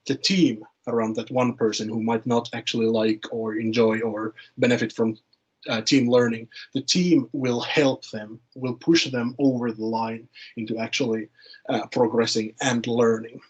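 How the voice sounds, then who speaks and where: A man's voice: mid-range voice, steady tone, normal speed, husky, highs cut off. A man, speaking informally in a webinar discussion and often repeating words as he thinks. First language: Finnish. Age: late 20s.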